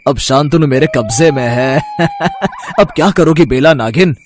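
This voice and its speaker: A man's voice: on the high side, a little slow, soft background music, lightly acted. A man playing a wicked, lovesick, scheming snake charmer in a cartoon, with a melodramatic manner. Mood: gloating. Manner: melodramatic, pleading and gloating.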